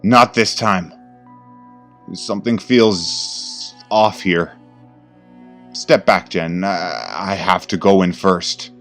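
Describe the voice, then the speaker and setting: A man's voice: low-pitched, slow pace, a bit bright, soft background music, voice pushed up, exaggerated voice. A man doing a stern chain-smoking detective. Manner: stern, gruff. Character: tough middle-aged male detective, stern but charming.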